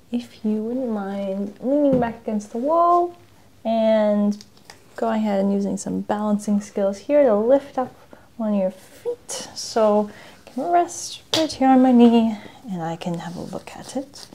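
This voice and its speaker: A soft-spoken woman in an ASMR role-play as a patdown agent, giving polite step-by-step instructions and often slipping into whispers. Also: mid-range voice, lively intonation, unhurried, slight background noise.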